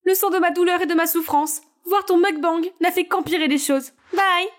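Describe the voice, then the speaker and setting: Woman doing a teen girl, whiny, complaining, exhausted, very high pitched, animated storytime. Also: normal speed. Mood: fed up. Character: overworked teenage girl, hungry and fed up.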